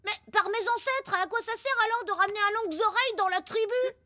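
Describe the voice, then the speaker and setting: A woman's voice: very high-pitched, fast, sharp tone. A woman voicing a brash, boisterous, food-loving prehistoric boy in a French cartoon, full of exclamations. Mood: earnest. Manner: boisterous, exclaiming in mock-caveman oaths.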